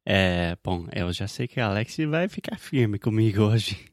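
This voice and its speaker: An American man, a native English speaker, co-hosting a casual podcast and speaking Portuguese as a learner, relaxed and thinking aloud with fillers. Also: fairly low pitch, measured pace, no echo, clean studio sound. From United States. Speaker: podcast co-host, English teacher.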